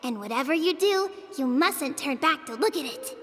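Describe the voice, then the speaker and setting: A woman's voice: sits high, expressive, normal speed, fairly bright tone, in a large hall, soft background music. A woman voicing a young village girl in a video game, an eager storyteller with a childlike, earnest manner. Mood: earnest narrating.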